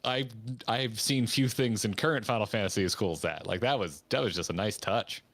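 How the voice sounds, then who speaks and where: A man's voice: lowish voice, brisk, fairly bright tone, dry recording. A man co-hosting a gaming stream, giving casual, conversational reaction commentary with enthusiasm for small details.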